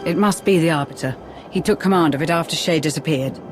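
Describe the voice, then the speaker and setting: A woman's voice: deep voice, fast, close to an everyday voice. A woman playing a guarded, composed adviser in a video game cutscene, speaking precisely and formally. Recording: loud music behind. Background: music.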